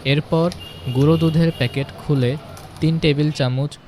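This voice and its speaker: A man's voice: on the high side, fairly even intonation, normal speed, darkish tone, slight background noise. A man reading a scripted, story-like voice-over for a home cooking video. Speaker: home cooking channel narrator.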